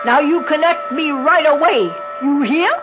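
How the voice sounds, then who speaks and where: A woman's voice: sits high, unhurried, over loud music. An actress playing a sly, bossy elderly country mother in a 1960s radio drama, with an American accent and a fussy, folksy manner. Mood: bossy, impatient. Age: older. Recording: dull, noisy 1960s radio recording.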